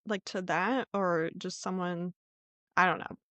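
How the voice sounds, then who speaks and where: A woman's voice: on the low side, fairly even intonation, measured pace, bright, forceful tone, no echo. Casual woman podcast storyteller, close and dry. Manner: informal, conversational, hedging as she retells. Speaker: podcast host.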